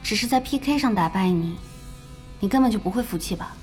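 A woman playing a composed, perceptive, competitive young gamer in a radio drama, calm and understated. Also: medium pitch, fairly quick, lightly acted. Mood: challenging, thoughtful. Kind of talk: Chinese radio drama acting. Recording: music behind the voice.

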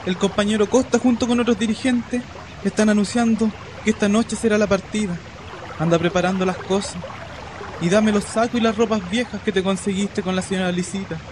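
A Chilean actor playing a working-class husband who gives urgent instructions in a radio drama. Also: male voice, sits high, fairly even intonation, a little fast, close to an everyday voice. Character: working-class Chilean husband preparing a secret land takeover. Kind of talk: radio play acting. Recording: noisy recording with outdoor ambience.

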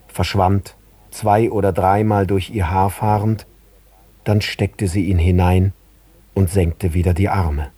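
An actor narrating a literary radio play as a sober, measured observer. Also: male voice, fairly low pitch, mellow, husky, dry recording. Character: male narrator, sober observer recalling scenes in detail.

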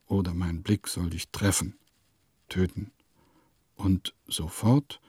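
A man's voice: sits low, vocal fry, a little mellow, slightly rough, no room sound, studio quality, full character voice. An actor playing an eccentric composer who muses in clipped notes and declaims his verses in a radio play. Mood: haughty, indignant.

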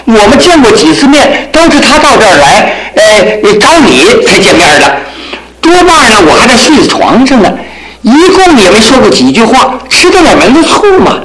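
An actor playing a flippant, comically indignant bachelor in a radio drama, blustering and repeating himself. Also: male voice, high-pitched, big pitch swings, moderate pace, reverberant, a crowd in the background, rough recording. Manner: blustering, repetitive.

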